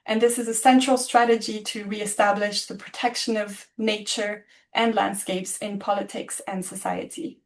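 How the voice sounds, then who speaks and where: A woman hosting an online webinar on a video call, introducing the speakers in a friendly, slightly halting way and laughing at her own slips. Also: mid-range voice, little pitch movement. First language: not German. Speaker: webinar host.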